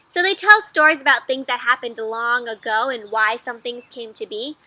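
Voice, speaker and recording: A woman giving an interactive storybook read-aloud for children, animated and encouraging, often asking her young listeners questions. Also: fairly high pitch, normal speed.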